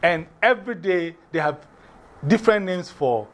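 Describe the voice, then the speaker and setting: A man from Ghana with a Ghanaian accent, teaching a class in a lively way, often repeating and spelling out words. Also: high-pitched, normal speed.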